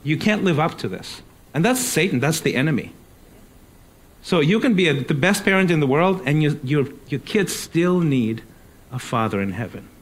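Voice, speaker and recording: A man, a pastor, talking in a lively way as he prompts a church member and jokes with him. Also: a little high, normal speed. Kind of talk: conversational church interview.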